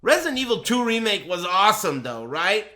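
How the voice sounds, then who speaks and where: A male game streamer shares his impressions in a casual, off-the-cuff style. His voice sits a little high for a man and has a bright, forceful edge. He is blunt and profane, with a dry sarcastic streak. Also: sing-song, a little fast.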